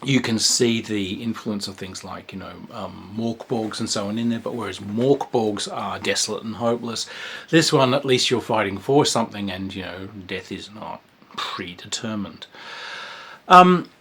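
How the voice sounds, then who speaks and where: A man talks through a tabletop game in an easygoing, meandering way, full of fillers and restarts. His voice sits a little low and has a husky grain. A dry, dark humour runs through his delivery. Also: normal speed. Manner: rambling and informal, with many fillers and restarts. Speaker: game reviewer. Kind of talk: casual spoken game review.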